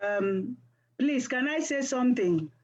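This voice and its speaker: A woman's voice: high voice, expressive, measured pace, darkish tone, muffled recording. A woman politely explaining her opinion in an informal discussion.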